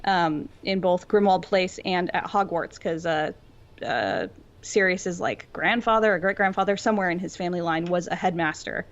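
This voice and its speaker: A woman's voice: lowish voice, normal speed. A woman explaining things informally in a casual fan discussion, often saying "like" as she goes. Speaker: podcast co-host. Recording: dull lo-fi audio.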